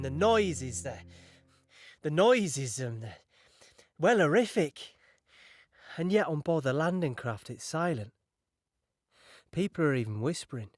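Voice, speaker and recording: A man's voice: medium pitch, dry recording, studio quality. A man playing an earnest, fearful British soldier in an audio play, recounting battle in a reflective and emphatic way. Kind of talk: dramatic first-person monologue in audio play.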